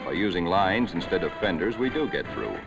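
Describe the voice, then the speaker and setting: A man giving scripted narration for a 1940s wartime documentary, in a measured, solemn way, on an old film soundtrack. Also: deep voice, very animated, creaky, muffled recording, music in the background.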